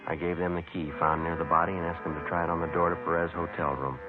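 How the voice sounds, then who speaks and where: An actor playing a terse, methodical police sergeant in a deadpan, matter-of-fact radio drama style. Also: male voice, sits low, quick pace, natural voice. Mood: flat, factual. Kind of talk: 1950s radio drama acting and narration. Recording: muffled vintage radio recording, music behind.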